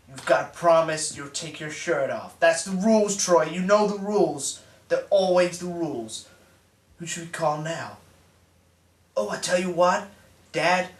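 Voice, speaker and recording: An actor playing a brash, crude young American man who is the president's son, talking loud, profane and full of swagger. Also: on the high side, recorded from a distance, close to an everyday voice. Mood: crude prank calling. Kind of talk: mockumentary comedy acting. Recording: roomy echo, off-mic.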